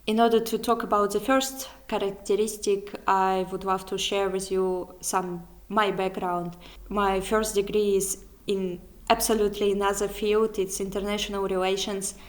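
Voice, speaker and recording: Young woman vlogger, mid pitch, just chatting casually and correcting herself. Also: level tone, normal speed. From Kazakhstan. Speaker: vlogger, software developer. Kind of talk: casual chat to viewers.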